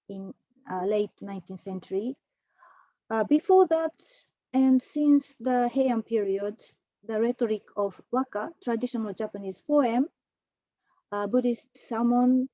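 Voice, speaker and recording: A woman presenting a prepared academic talk at an online conference, measured, with a few fillers. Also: a little high, mellow, no echo, lo-fi sound. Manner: measured, reads from a prepared text with occasional fillers. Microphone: computer mic for an online talk.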